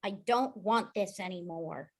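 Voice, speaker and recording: A woman playing an upset, earnest young boy, pleading, in a table read of an animated film. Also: mid-range voice, measured pace. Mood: hurt.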